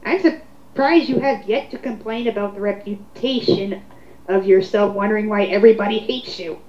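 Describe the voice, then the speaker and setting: A woman's voice: mid-range voice, moderate pace, darkish tone. A woman co-hosting a casual commentary, reading online comments aloud and remarking on them. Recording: roomy, dull lo-fi home recording.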